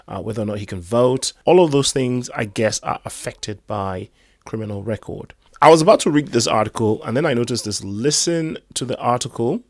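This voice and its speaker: A hoarse man giving rambling, casual commentary on crypto news. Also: fairly low pitch, dry recording. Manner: rambling, chatty asides.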